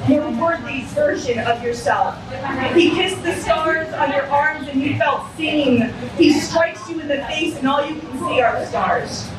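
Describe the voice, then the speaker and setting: A woman's voice: high-pitched, background noise. A woman in her thirties performing spoken word poetry at an open mic in a small bar, reading from a book with intense, impassioned delivery. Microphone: stand mic, filmed by a far camera. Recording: echoey bar, from far off. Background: bar ambience.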